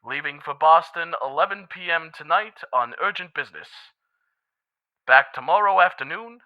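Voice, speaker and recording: A man playing an impassive telegram clerk in a 1940s-style radio drama, speaking in a measured, businesslike way. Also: a little high, dry recording, muffled recording, lightly acted. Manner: businesslike, measured, crisply enunciated.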